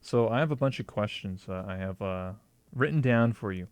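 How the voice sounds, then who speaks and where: A man hosting a casual interview in a laid-back, halting way, full of ums and you knows. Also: lowish voice, dry recording. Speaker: host.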